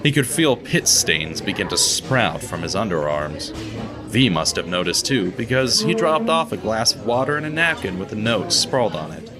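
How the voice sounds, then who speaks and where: A male narrator reads a radio-theatre story in a measured, expressive way, his voice rising and falling a lot as he tells it. Guitar music plays clearly behind him. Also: on the low side. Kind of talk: scripted audio drama narration.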